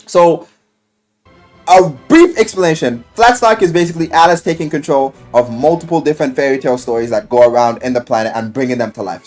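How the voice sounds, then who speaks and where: A man with a fairly high, very animated voice retells a story as a casual game reviewer. He talks in loose slang with plenty of swearing and slips into quotes as he goes. Light music plays behind him. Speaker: video game and visual novel reviewer. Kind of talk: casual enthusiastic story recap.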